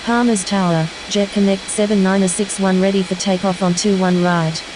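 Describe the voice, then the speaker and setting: A woman's voice: medium pitch, fairly even intonation, normal speed, noisy background, close to an everyday voice. A woman playing a businesslike airline pilot in a game, giving clipped, scripted radio calls. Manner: clipped, formulaic phraseology.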